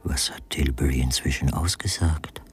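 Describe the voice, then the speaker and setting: An actor playing a brooding, evasive writer with a terse, guarded manner in a German radio crime drama. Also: male voice, rumbling low, fairly even intonation, normal speed, music in the background. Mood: brooding whisper. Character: brooding male writer, evasive husband of a dead woman.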